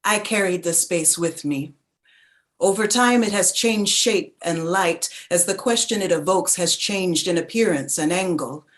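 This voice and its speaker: An actress playing a reflective narrator who remembers her childhood, in a measured, deliberate staged reading of a literary play. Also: on the low side, natural voice. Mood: reflective remembrance.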